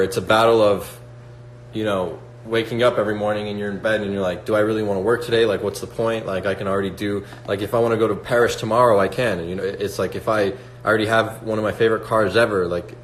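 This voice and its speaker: A man's voice: on the low side, fairly even intonation, quick pace. A man in his twenties hosting a casual livestream, talking to viewers in a motivational way with lots of "like" and "right?". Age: 20s. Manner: motivational, reads out chat questions, many fillers like "like" and "right?". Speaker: livestream host. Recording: echoey room with faint hum.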